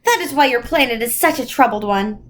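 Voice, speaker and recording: Woman as a high, kindly old celestial lady. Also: lively intonation, a little fast. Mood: gentle, regretful. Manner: gentle, motherly, reassuring.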